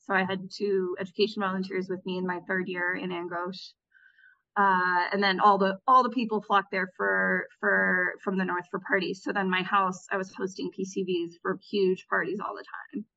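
A woman's voice: mid-range voice, little pitch movement, normal speed, sharp tone. Woman reflecting in an interview, lo-fi sound. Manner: reflective, with frequent restarts and fillers. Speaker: interview guest, former Peace Corps volunteer. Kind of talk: conversational interview answers.

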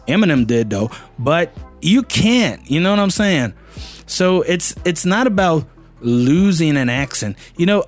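A Brazilian man whose first language is Portuguese, teaching English in a relaxed, chatty way, often saying "you know" and "man". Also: fairly high pitch. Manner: chatty, fills with "you know" and "man", acts out learners' voices. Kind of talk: casual video lesson.

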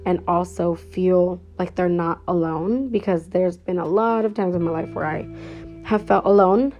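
A woman's voice: on the low side, moderate pace. Chatty young woman, podcast intro. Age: 20s. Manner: chatty and upbeat, rambling with fillers. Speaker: podcast host. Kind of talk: casual solo podcast intro. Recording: slightly dull lo-fi sound with faint guitar music.

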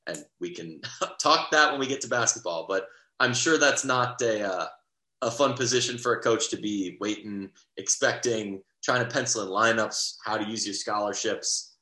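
Young male podcast co-host, rambling sports chatter, bright tone, echoey room. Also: lowish voice, moderate pace. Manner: rambling, lots of restarts and fillers. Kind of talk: casual sports podcast chat.

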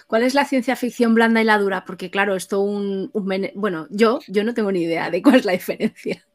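A woman's voice: mid-range voice, brisk. A woman interviewing guests casually and reading out audience questions.

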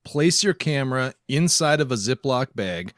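A man talks casually as a podcast co-host in a close, dry recording. His voice sits at a medium pitch, and he jokes along, often laughing through his sentences. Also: animated, moderate pace, fairly bright tone, no echo. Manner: jokey, laughs often while talking.

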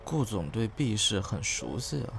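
An actor playing a tipsy, unwell young male celebrity in a Chinese audio drama, mumbling politely and haltingly. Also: lowish voice, sing-song, creaky, heavily acted. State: drunk, dizzy, weak. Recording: loud music bed under the voice.

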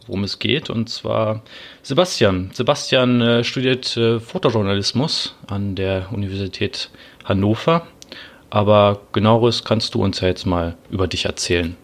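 A man's voice: on the low side, unhurried. Hesitant young man hosting a podcast. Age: 20s. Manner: hesitant, many filler sounds. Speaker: podcast host. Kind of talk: casual podcast conversation.

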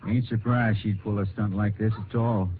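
A man playing a folksy married Texas rancher in a 1950s radio drama, giving deliberate, slightly hesitant answers. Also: lowish voice, fairly even intonation, lightly acted. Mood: folksy, guarded. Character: drawling, disapproving married male Texas rancher. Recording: tinny early-1950s radio recording with hiss.

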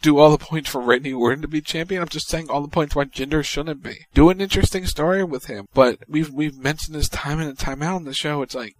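A man's voice: fairly high pitch, no echo, low-quality recording. A man co-hosting a wrestling podcast, giving blunt opinions in casual chat. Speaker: podcast co-host.

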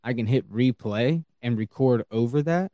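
A man's voice: medium pitch, moderate pace, warm tone, no echo. A man co-hosting a podcast, talking casually with many fillers and restarts. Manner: laid-back, full of fillers and restarts. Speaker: podcast co-host.

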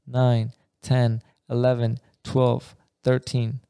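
A man's voice: mid-range voice, completely flat delivery, takes it slowly, creaky, dark tone, clear, resonant tone, no room sound, studio quality. A young man from Afghanistan, co-hosting a podcast in loose, rambling casual chat full of slang like bro and man. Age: young adult. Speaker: podcast co-host.

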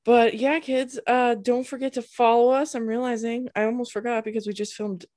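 A woman's voice: a little high, level tone, moderate pace, darkish tone, dry recording, studio quality. A young woman co-hosting a podcast, talking in a laid-back way with frequent ums and trailing thoughts. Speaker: podcast co-host. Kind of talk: casual chat.

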